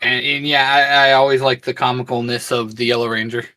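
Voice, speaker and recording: A man co-hosting a fan discussion show, giving his opinions in relaxed, conversational geek chat. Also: medium pitch, brisk.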